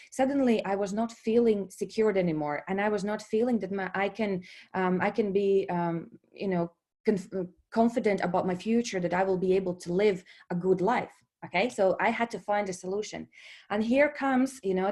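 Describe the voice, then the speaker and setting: A woman's voice: medium pitch, a little mellow. Latvian-accented woman with hesitant delivery. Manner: hesitant, many fillers and restarts, checks in with "okay?". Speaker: presenter at a business webinar. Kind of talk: speaking to an online audience.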